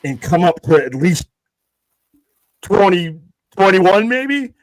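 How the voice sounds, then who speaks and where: A man's voice: high voice, sing-song, slow pace, no room sound, low-quality recording. A man co-hosting a live stream, ribbing his partner in incredulous, joking banter. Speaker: co-host. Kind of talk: casual live stream chat.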